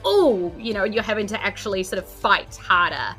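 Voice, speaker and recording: A woman's voice: mid-range voice, animated, moderate pace, pushed and bright, low-quality recording. A woman narrating a tabletop role-playing game as game master, improvising conversationally. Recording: music behind the voice. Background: background music.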